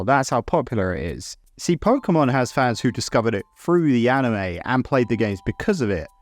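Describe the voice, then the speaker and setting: A man narrating a scripted video essay in a conversational, opinionated way, with casual asides. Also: medium pitch. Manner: conversational, opinionated, mildly sweary.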